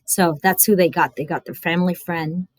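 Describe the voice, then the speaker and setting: A woman reading a story aloud to young children, expressive and dramatic, with instructive explanatory asides. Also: on the low side, normal speed, dry recording.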